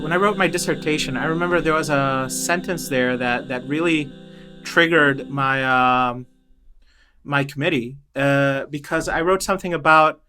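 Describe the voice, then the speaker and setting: A man with a bright, crisp, smooth voice that sits a little high. He talks in a reflective, halting way, often restarting his sentences and filling pauses with "uh" as he works through his ideas. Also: faint music behind. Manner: thoughtful, halting, many restarts and fillers. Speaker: interview guest, choral director. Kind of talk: reflective interview talk.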